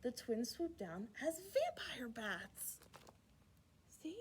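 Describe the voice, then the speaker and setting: A woman hosting a children's storytime, reading a rhyming picture book aloud in an expressive, playful way with asides to young listeners. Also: fairly high pitch, slow, a little hiss or hum. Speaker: children's library storytime host.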